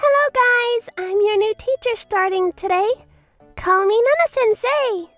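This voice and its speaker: A woman's voice: extremely high pitch, unhurried, fairly bright tone, dry recording, faint music behind. A woman playing a bubbly, money-obsessed young schoolteacher with a gushing manner in a manga dub. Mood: cheery. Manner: gushing, peppers talk with French phrases.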